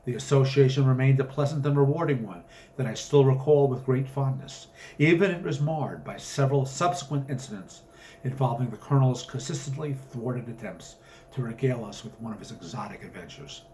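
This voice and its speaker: A man's voice: medium pitch, expressive, a little fast, warm tone, a little hiss or hum, low-quality recording. A man reading his own short story aloud with a dramatic, literary narrating delivery.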